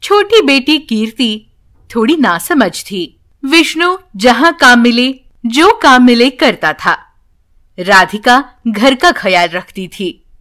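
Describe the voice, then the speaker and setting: A woman's voice: a little high, sing-song, slow, fairly bright tone. A woman narrating a scripted bedtime story for children as a storyteller.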